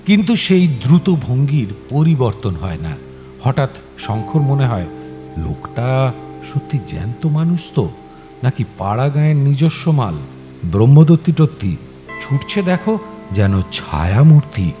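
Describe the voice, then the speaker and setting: A man narrating a scripted story in a dramatic, suspenseful and expressive manner. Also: medium pitch, unhurried. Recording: music behind the voice. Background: clear background music.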